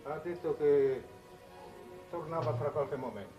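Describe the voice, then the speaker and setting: A man's voice: fairly high pitch, a little slow, muffled recording, close to an everyday voice. An actor playing a deferential male house servant in a 1950s Italian television period drama. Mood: puzzled, respectful. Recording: echoey old TV soundtrack under music.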